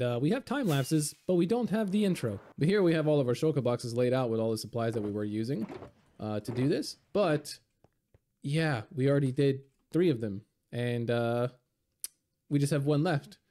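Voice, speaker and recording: Hoarse, raspy man with a mellow voice. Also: mid-range voice. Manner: relaxed, rambling, many fillers. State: hoarse from being sick. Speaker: game video creator. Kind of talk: casual gameplay commentary.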